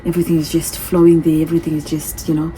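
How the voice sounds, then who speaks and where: Woman, 30s, low voice, casual vlog Q&A, repetitive, noisy phone recording. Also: level tone, fast talker. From from a different continent. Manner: chatty, repeats herself, reads questions aloud. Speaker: vlogger.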